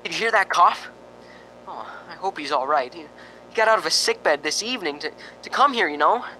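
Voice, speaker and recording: A man's voice: fairly high pitch, animated, fairly quick, bright, forceful tone, slight background noise, rough recording. A man playing a nervous, lonely radio reporter in a spooky radio drama, stammering and rambling with sighing ohs. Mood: frightened. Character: jittery, lonely radio reporter broadcasting from a haunted house.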